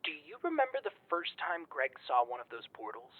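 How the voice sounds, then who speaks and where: A man's voice: on the high side, wildly swooping pitch, fairly quick, vocal fry, dry recording, heavily acted. A man playing a rattled young man confiding in his friends in an audio drama, speaking hesitantly over a muffled line. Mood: uneasy, reflective.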